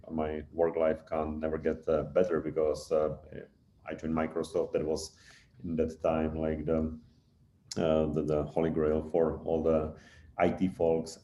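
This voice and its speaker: Deep, flat-voiced Czech man on a call. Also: roomy sound, slight background noise. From Czech Republic. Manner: hesitant, many fillers and restarts. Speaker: guest speaker, engineer. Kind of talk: online info session talk. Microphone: video call.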